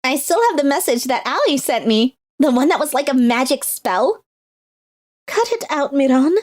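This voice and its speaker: A woman playing a youthful, expressive schoolgirl in scripted animated dialogue. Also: high-pitched, normal speed. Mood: teasing. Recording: music behind the voice.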